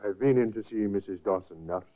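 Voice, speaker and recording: Actor doing a calm kindly doctor, lowish voice, old tinny radio. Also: male voice, quick pace, natural voice. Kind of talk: 1950s radio drama acting.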